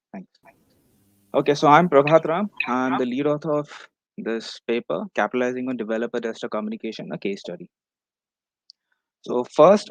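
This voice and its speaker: A man's voice: medium pitch, a little mellow, dry recording. A man giving a prepared academic presentation as a researcher at an online conference.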